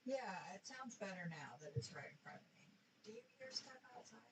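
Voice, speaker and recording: A woman's voice: mid-range voice, sing-song, a little fast. A woman co-hosting a livestream in casual, conversational chat. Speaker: livestream co-host. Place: inside an RV. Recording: distant mic with clear background noise.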